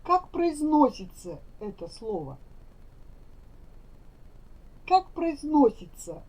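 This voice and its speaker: A woman teaching Russian, saying each phrase slowly and carefully so learners can repeat it. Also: sits high, very animated, a little mellow. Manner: careful, deliberate enunciation. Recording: dull lo-fi recording.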